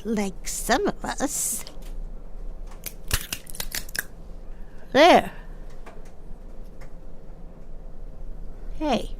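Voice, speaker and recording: An older woman acting in an audio drama as a chatty, nosy seamstress, wistful and teasing, with a rambling, halting way of talking. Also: medium pitch, vocal fry, darkish tone, a little hiss or hum, exaggerated voice. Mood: teasing, friendly.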